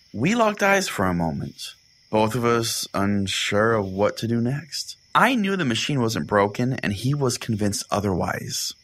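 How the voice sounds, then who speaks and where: A man playing an irritated, foul-mouthed small-town pastor, telling strange tales deadpan on a comedy podcast. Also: mid-range voice.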